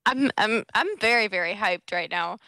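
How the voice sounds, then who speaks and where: A woman's voice: medium pitch, moderate pace, vocal fry, pushed and bright, clean tone, no echo. A woman chatting casually as an eager, agreeable co-commentator in a reaction video. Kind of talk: casual chat.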